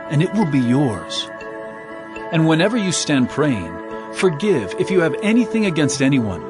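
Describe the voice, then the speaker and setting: An actor playing Jesus as a calm, authoritative teacher in a dramatized scripture reading, measured and solemn. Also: male voice, mid-range voice, natural voice.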